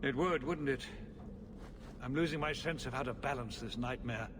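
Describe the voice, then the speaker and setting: An actor playing an anxious, exasperated middle-aged city ruler in a video game, speaking formally and theatrically. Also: male voice, mid-range voice, moderate pace, close to an everyday voice. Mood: weary, troubled. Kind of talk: dramatic video game acting. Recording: loud ambient noise behind.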